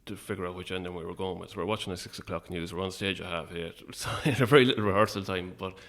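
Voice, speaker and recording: A man's voice: deep voice, steady tone, rapid-fire, a bit bright. An Irish man chatting casually as an interview guest, a little hesitant and self-effacing. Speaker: guest, playwright.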